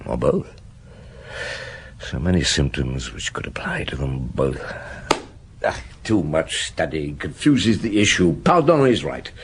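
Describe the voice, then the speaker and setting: An actor playing a weary, doubting middle-aged chief inspector in a radio drama, probing, hesitant and often muttering to himself. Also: male voice, rumbling low, very animated, measured pace, a little hiss or hum, exaggerated voice. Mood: musing, muttering. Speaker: detective and narrator. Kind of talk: radio drama dialogue and narration.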